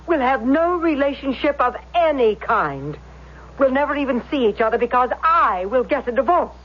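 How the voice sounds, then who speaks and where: Insistent exasperated wife, high voice, accusatory, radio drama acting, muffled old recording. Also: female voice, sing-song, moderate pace. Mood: firm, pleading. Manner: pressing, accusatory, repeats demands. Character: exasperated, insistent wife confronting her secretive husband.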